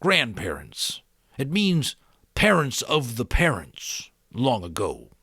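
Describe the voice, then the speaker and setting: A man voicing a wise, patient old male mentor in an audiobook, measured and gently probing. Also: medium pitch, huge pitch swings, slightly rough, no echo, studio quality, full character voice. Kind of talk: audiobook character voice.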